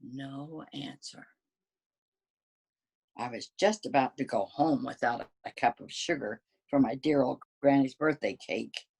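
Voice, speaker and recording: A woman's voice: very deep voice, a little slow, vocal fry, darkish tone, slightly rough, heavily acted. A woman voicing a sly, folksy storybook wolf who protests his innocence in a conversational way. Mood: mock-innocent. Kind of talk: dramatic children's storybook reading.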